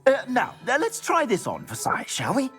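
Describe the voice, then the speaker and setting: A flamboyant clothier. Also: male voice, extremely high pitch, huge pitch swings, a bit bright, faint music behind, exaggerated voice. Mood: grateful. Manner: theatrical, drawn-out flourishes with hesitant "uh"s. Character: flamboyant shopkeeper clothier, middle-aged man, grateful. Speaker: shop owner. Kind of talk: video game cutscene acting.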